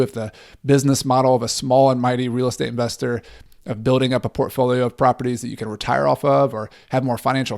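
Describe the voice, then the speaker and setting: A man with a gravelly, fry-edged voice hosts a podcast and talks in an earnest, encouraging way. His pitch sits in an ordinary middle range for a man. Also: darkish tone. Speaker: podcast host and real estate coach. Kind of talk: podcast interview.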